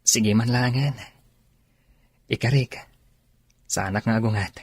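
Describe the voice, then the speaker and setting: A man acting a hopeful but uneasy young suitor with a raspy voice in a radio drama. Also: mid-range voice, fairly even intonation, measured pace. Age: young adult. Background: faint music.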